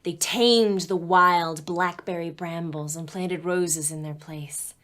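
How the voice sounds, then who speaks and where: Cheerful young tutor, playfully teasing. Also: female voice, lowish voice, fairly even intonation, slow, a bit bright. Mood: storytelling, playful. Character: cheerful, patient young woman tutoring a teenager. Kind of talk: naturalistic web series acting.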